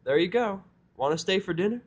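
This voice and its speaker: A kindly farm pony mare as a male narrator puts her on talks in a lifted, high voice. She is folksy and patient, a friendly figure from a cartoon audio story. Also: lively intonation, brisk, husky, muffled recording.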